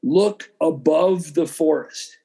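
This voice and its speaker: A man with a somewhat high voice shares anecdotes in a relaxed podcast conversation. He acts out snatches of dialogue as he narrates. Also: slow, vocal fry. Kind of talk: casual chat, anecdotes.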